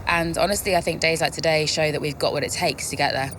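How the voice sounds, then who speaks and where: A woman's voice: low-pitched, steady tone, quick pace, a bit bright, slight background noise. A young woman playing a confident, competitive racing driver in a game, answering in an assured, earnest way. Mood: determined. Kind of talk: acted media interview.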